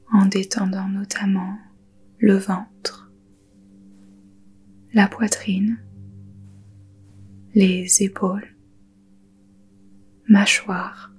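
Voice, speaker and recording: A woman guiding a yoga nidra meditation in a soft, breathy half-whisper, calm and soothing, with unhurried pauses. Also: mid-range voice, a little hiss or hum. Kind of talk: guided meditation.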